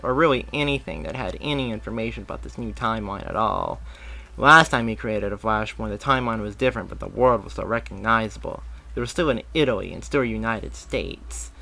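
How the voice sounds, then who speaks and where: A man reading fan fiction aloud in a steady way, with small slips and restarts. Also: medium pitch, creaky, low-quality recording. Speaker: story reader.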